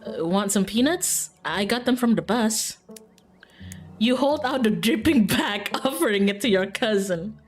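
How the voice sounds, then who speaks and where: A woman's voice: medium pitch, expressive, measured pace. A woman streaming a game, reading aloud and commenting casually in an informal, giggly, self-interrupting way. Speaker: streamer playing and reading aloud a visual novel. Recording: music behind.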